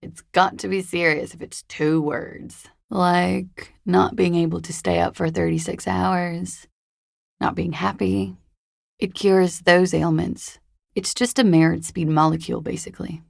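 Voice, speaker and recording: Casting: a secretive young female disco dancer in a game, coy and understated, very gravelly fry, close dry sound. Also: lowish voice, steady tone, measured pace, no echo. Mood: wry, teasing. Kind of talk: video game voice acting.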